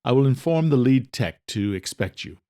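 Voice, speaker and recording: A man playing a courteous older male doctor in an audio drama, speaking in a measured, formal way. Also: mid-range voice, lively intonation, mellow, smooth, natural voice. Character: composed, courteous older British doctor and researcher.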